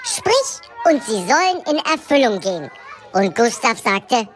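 A playful talking fridge tells a tale to the other appliances in a children's radio comedy. The voice sits high and leaps around in pitch. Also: female voice, sing-song, measured pace. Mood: storytelling. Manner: playful storytelling. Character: Kühli, a chatty talking fridge. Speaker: storyteller within the scene.

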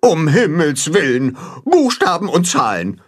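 A blustering storybook gander, acted by a man, scolds in alarm. The voice is raised and husky, with dramatic swoops. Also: on the high side, wildly swooping pitch, measured pace, pitched above the performer's own voice, heavily acted. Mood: indignant alarm. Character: blustering, alarmist gander with old-fashioned exclamations.

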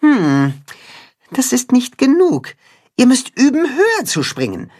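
A storyteller voicing a bossy, clever cat-hen leader who gives brisk orders in a raised, breathy voice. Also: high voice, wildly swooping pitch, a little mellow, pitched above the performer's own voice, full character voice. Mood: commanding. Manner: brisk, commanding.

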